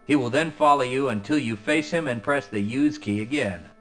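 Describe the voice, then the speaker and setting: A man playing a formal, measured training course announcer giving instructions in a video game. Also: medium pitch, soft background music, close to an everyday voice. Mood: formal, instructive. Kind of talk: scripted game tutorial narration.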